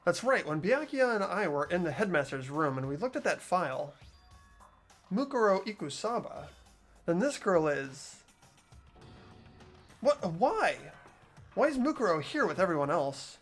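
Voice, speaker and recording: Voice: medium pitch, very animated, unhurried, long pauses, faint music behind. A fan dubber voicing an anxious teenage boy student, narrating his worried inner thoughts in a game. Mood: puzzled. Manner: earnest inner monologue, worried questioning. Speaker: host and lead voice actor.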